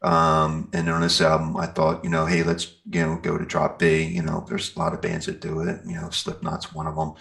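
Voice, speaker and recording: A man's voice: very deep voice, monotone, moderate pace, vocal fry, hardly any pauses, fairly bright tone. A man speaking casually as an interview guest, explaining things in a rambling, think-aloud way. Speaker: interview guest, metal band singer and guitarist.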